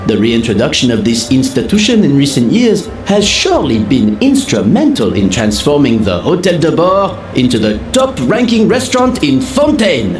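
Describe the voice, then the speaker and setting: An actor playing a genteel, jovial hotel gentleman with florid, theatrical phrasing in a video game. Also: medium pitch, wildly swooping pitch, moderate pace, hardly any pauses, soft background music. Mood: grandly persuasive. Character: flamboyant, genteel older male hotel gentleman, jovial and ornate. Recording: roomy echo, faint background noise.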